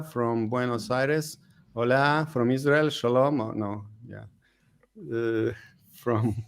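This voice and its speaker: A man's voice: medium pitch, smooth. A man in a mid-range voice hosts a live webinar and introduces his guests. His talk is loose and casual, full of "uh"s and false starts as he works through the running order. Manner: casual, with many fillers and restarts. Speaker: webinar host. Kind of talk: hosting a live online Q&A.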